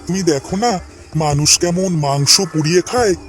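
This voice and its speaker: Cartoon villager, male actor, very high voice, excitable, faint music underneath. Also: fairly quick, close to an everyday voice. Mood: eager. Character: excitable village fishmonger father and his clever son. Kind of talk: cartoon voice acting.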